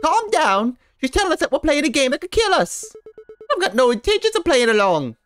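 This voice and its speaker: A woman voicing a defiant, hot-tempered young female game character, loud, indignant and combative. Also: sits high, very animated, fairly quick, faint music behind, pitched above the performer's own voice. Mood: outraged.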